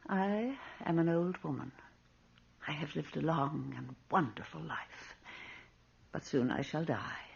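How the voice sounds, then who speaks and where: An actress playing a wealthy, self-serving old lady in a radio mystery drama, genteel, deliberate and ominous in manner. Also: sits low, vocal fry, slightly rough, exaggerated voice. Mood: grave, formal. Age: older. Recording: muffled old radio recording.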